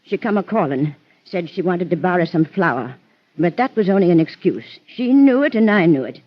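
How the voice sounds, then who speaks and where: An actress playing a fussy, scolding farm wife with a rural American accent and folksy exclamations, in old-time radio drama style. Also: female voice, fairly low pitch, expressive, moderate pace. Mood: determined. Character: fussy, nagging, indignant farm wife, busybody neighbor. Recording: dull, lo-fi vintage radio recording.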